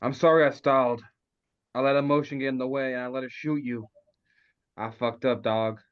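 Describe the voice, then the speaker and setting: A man's voice: medium pitch, steady tone, normal speed, clean tone, lightly acted. A man acting a foul-mouthed, sentimental schemer in a comedy table read, over a dull online connection. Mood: sorry, sentimental.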